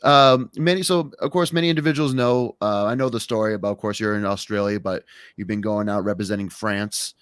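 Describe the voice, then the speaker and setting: A man's voice: lowish voice, fairly quick, a bit bright, clear, resonant tone, no room sound, clean studio sound. A young man hosting a podcast, talking casually and rambling, often stumbling and restarting his sentences. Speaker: podcast host.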